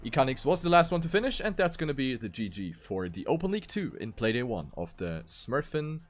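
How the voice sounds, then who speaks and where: A man's voice: medium pitch, big pitch swings, a little fast. A man doing live commentary on an esports racing game as one of the casters. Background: music.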